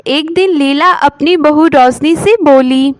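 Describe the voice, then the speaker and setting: A woman's voice: high voice, slow, no echo, clean studio sound. A woman narrating a scripted moral story as a storyteller.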